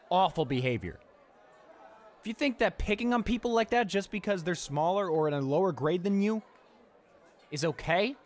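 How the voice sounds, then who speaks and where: A young man playing a cocky school bully with a hidden soft spot in an audio roleplay, brash and halting as he talks. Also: high voice. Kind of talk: dramatic audio roleplay, monologue.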